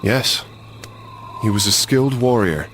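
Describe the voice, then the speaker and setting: Resolute young crusader knight. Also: male voice, lowish voice, unhurried, a bit bright, slight background noise. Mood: somber. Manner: serious, resolute. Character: earnest, stubborn young crusader nobleman. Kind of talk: video game cutscene acting.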